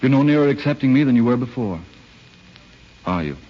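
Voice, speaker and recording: A man's voice: medium pitch, expressive, fairly quick, natural voice. An actor playing a persistent, teasing young male suitor in a 1930s radio drama, with light banter. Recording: old muffled radio, faint noise.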